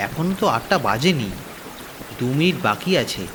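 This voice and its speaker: A man voicing a curious, friendly young villager in a horror cartoon, expressive. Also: medium pitch, moderate pace, close to an everyday voice. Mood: curious, amiable. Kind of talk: dramatized cartoon dialogue and narration. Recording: noisy rain ambience behind the voice.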